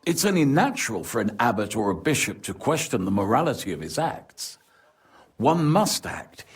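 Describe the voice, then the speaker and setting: Worldly medieval nobleman for a game; rough husky voice, animated intonation, formal and worried. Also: medium pitch, heavily acted. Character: worldly, anxious medieval lord seeking a favour. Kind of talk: video game cutscene acting.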